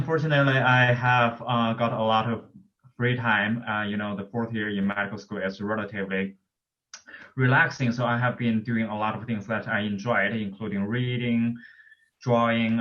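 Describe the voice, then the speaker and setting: A man from China, a medical student whose first language is Chinese, speaking on a video call panel, halting with frequent fillers. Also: lowish voice, pushed and bright, dull audio. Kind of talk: casual panel talk.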